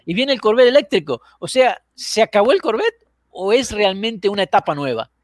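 A man hosting a casual show over a video call, animated, quick to cut in on his guests and often restarting his words. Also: high voice, dry recording, clean studio sound. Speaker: host. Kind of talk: casual talk show chat.

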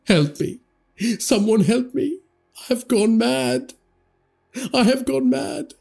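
A man's voice: very high voice, slow pace, vocal fry, a little mellow, breathy edge, soft background music, voice pushed up, full character voice. A man voicing a terrified young man losing his sanity, wailing and frantic.

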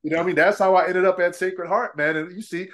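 A man's voice: high voice, steady tone, quick pace, fairly bright tone. A middle-aged man from Trinidad, a former pro basketball player, chatting casually as an interview guest, laughing often and saying "man" and "bro".

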